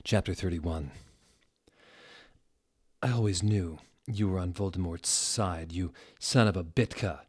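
A man in his thirties giving a deliberate, theatrical reading of fan fiction, close to a desk microphone. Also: deep voice, breathy edge. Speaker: reader, show host. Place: small furnished room indoors.

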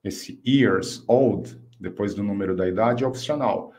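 A man in his fifties with a Brazilian accent, a native Portuguese speaker, teaching an online English lesson and slowly enunciating example phrases. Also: on the low side, gravelly, darkish tone. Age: 50s. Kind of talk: online language lesson, explaining and modelling phrases.

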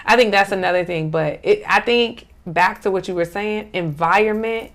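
Woman host, middle-aged, emphatic, animated, casual panel chat, small studio, lapel mic. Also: mid-range voice, normal speed. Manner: emphatic, animated, repeats "absolutely". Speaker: talk show host. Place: small bright studio set.

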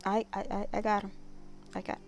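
A woman's voice: medium pitch, completely flat delivery, brisk, darkish tone. A woman livestreaming a game with casual, playful, chatty live commentary. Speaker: gaming livestreamer.

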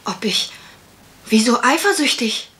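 An actress playing a timid, obedient young housemaid who speaks hesitantly in a German radio crime drama. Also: female voice, fairly high pitch, expressive, unhurried, sharp tone. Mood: hesitant, defensive. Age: young adult.

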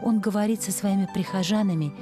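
Woman, reporter, scripted narration, medium pitch, clear music underneath. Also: fast talker.

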